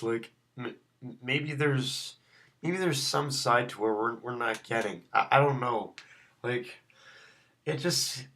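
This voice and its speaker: A man with a slightly raspy, sore-throated voice giving a casual, unscripted review, often halting and repeating his phrases. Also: medium pitch, fairly bright tone. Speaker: manga reviewer and video host.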